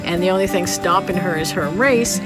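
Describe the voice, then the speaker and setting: A woman's voice: fairly low pitch, fairly quick, loud music behind the voice. A woman vlogger narrating history in a casual, conversational way with wry, playful asides to her viewers.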